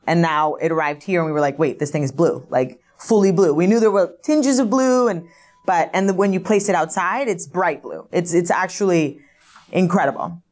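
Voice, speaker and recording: A woman speaks excitedly about an artwork, leaning on "you know" and "like" as she talks. Her voice is on the lower side for a woman. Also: moderate pace. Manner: enthusiastic, filler-heavy, lots of "you know" and "like". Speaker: auction house specialist. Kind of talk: interview describing artworks.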